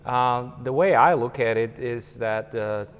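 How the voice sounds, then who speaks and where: Slovak-accented man, halting, in a hall. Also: medium pitch, smooth. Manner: thoughtful, many hesitations and fillers. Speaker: conductor, interview guest. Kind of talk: conversational interview. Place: empty hall with a stage.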